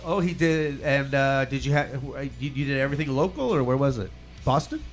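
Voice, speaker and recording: A man's voice: mid-range voice, expressive, brisk, soft background music. A man with a Midwestern accent hosting a radio interview, chatty and swearing, laughing often. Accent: Midwestern American. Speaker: radio show host. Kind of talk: casual interview chat.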